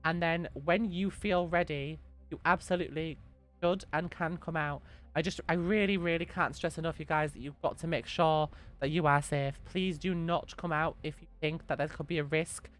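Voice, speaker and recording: A young woman, a little hoarse from being sick, gently answering chat questions on a live stream and repeating her reassuring advice. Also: low-pitched, steady tone, normal speed, soft background music.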